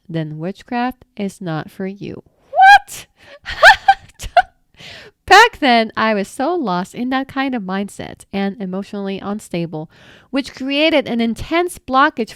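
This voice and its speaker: A woman's voice: medium pitch, a bit bright, smooth, no echo, studio quality. A woman hosting her own channel, reading viewer comments aloud and reacting with laughs, exclamations and mild swearing. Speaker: channel host answering viewer questions.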